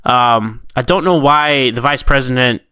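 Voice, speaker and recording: A man's voice: mid-range voice, measured pace, sharp tone, a little hiss or hum. A man co-hosting a podcast, casually critiquing stories and acting out imagined thoughts as he retells them. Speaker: podcast co-host. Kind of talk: casual, conversational story critique.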